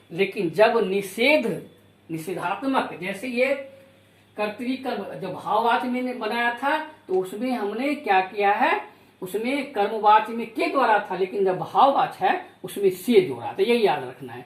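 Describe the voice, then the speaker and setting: Woman teacher in an echoey room. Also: mid-range voice. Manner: didactic, repeats key phrases for emphasis. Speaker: school teacher giving a Hindi grammar lesson. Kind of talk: instructional lesson, explaining rules with examples.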